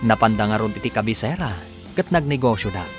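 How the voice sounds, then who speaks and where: A man's voice: lowish voice, animated, fast, over music. A man with an Ilocano Filipino accent delivering scripted, dramatic radio narration as announcer.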